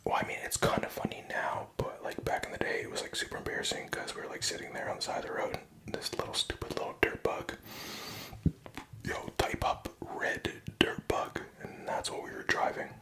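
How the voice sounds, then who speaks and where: A young man whispering a rambling personal story, profane and self-mocking. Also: brisk.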